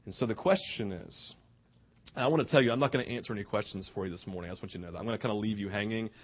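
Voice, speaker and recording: A man's voice: fairly low pitch, rapid-fire, dull audio. A man preaching a conversational sermon, earnest and informal, with rhetorical questions, tag questions and bits of acted-out dialogue. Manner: acts out imagined dialogue informally.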